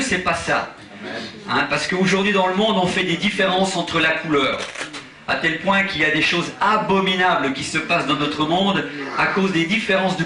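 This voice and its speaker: A man from Portugal, an evangelist preaching at a church conference, impassioned and rising to shouts with chuckling asides. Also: high-pitched. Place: large church hall. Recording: echoey hall, voice from far off.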